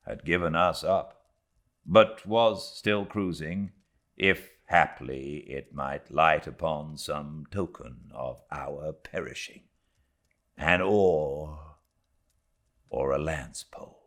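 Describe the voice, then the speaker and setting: A British man giving a dramatic reading of a literary audiobook, theatrical in delivery, moving between swelling intensity and hushed tones. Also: very deep voice, slow pace, pauses often. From Britain. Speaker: audiobook narrator.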